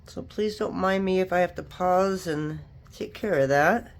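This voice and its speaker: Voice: mid-range voice, unhurried. A sniffly craft vlogger, chatting casually and rambling through asides while working on a project. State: sniffly, stuffed up from allergies or a cold.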